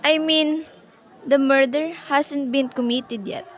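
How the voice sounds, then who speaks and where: A woman's voice: sits high, steady tone, takes it slowly, muffled recording. A woman playing an anxious, imperious married lady on the telephone in an amateur radio play, insistent, fretful and easily exasperated. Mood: agitated, pleading. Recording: noisy amateur recording.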